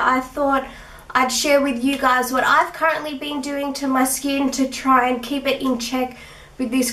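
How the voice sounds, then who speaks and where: A woman's voice: high voice, fairly bright tone. Sick woman vlogging skincare. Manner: chatty, giggly, with fillers. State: sick, husky rough voice. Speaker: beauty vlogger. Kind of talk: casual skincare tutorial. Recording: echoey room, mic at a distance.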